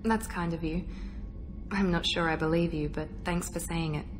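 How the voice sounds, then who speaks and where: A woman acting as a self-assured female operative in a sci-fi game, her voice on the low side. She speaks in measured, articulate phrases, proud and guarded, with music playing behind her. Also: fairly even intonation, lightly acted. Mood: softened, reflective. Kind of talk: video game dialogue acting. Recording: background music under the voice.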